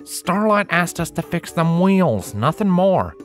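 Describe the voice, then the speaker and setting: A man's voice: sits high, very animated, unhurried, faint music behind, voice pushed up. A man voicing a bossy, practical female farm pony with a folksy country drawl.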